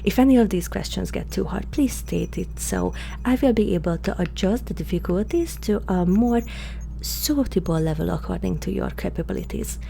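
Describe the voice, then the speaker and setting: A woman voicing a curious, eager and polite alien scientist in an ASMR roleplay, speaking softly, formally and a little hesitantly. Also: medium pitch, lively intonation, normal speed, vocal fry, slight background noise. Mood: eager, excited. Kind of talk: ASMR roleplay addressed to the listener.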